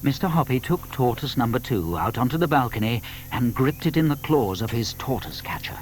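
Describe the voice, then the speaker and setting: A man narrating a children's story from a script, with deliberate emphasis. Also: medium pitch, sing-song, a little hiss or hum, rough recording.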